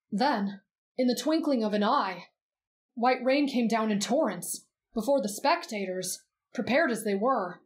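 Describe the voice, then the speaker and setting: A woman voicing a gentle teenage boy who reads in a soft, measured, expressive storybook way for roleplay ASMR. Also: fairly high pitch, close to an everyday voice.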